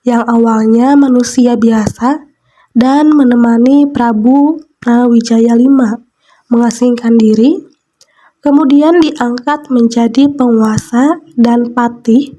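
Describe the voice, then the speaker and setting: A woman with a stuffy nose from a cold, chatting casually while eating on camera and reading a spooky story aloud, often saying "nah" between thoughts. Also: on the high side. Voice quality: nasal. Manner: slow, soft, frequent "nah" fillers while eating. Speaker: mukbang vlogger. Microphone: close mic for eating sounds.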